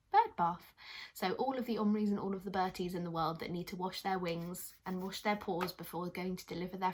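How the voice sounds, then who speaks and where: A woman's voice: lowish voice, breathy edge. A woman hosting a children's puppet show and telling stories, speaking encouragingly and directly to young listeners. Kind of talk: children's presenting and storytelling.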